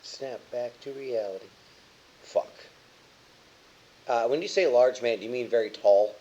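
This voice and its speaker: A man's voice: mid-range voice, a little slow, warm tone, lo-fi sound. A man chatting casually as a tabletop game player, swearing and joking. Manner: profane, joking.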